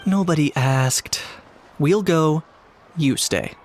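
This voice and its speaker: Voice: mid-range voice, slow, darkish tone. A performer voicing a blunt, businesslike character in a video game, terse and dry. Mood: curt.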